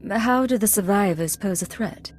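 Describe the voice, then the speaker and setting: A woman's voice: mid-range voice, normal speed, a little hiss or hum, close to an everyday voice. A woman playing a cool, resolute Imperial intelligence agent in a video game, speaking in a composed, clipped and stern way. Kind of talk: scripted video game dialogue.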